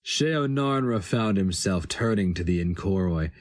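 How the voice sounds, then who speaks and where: A man giving a solemn, deliberate, dramatic reading of a fantasy story as its narrator. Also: deep voice, expressive.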